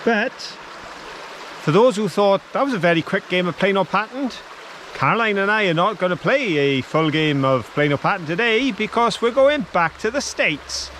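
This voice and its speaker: A man's voice: sits high, lively intonation, moderate pace, fairly bright tone, a little hiss or hum. An older man with a Welsh accent presenting a playful outdoor vlog beside a woodland stream, camera close. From Wales. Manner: playful, showman-like presenting to viewers. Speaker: vlog co-host. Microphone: camera held close.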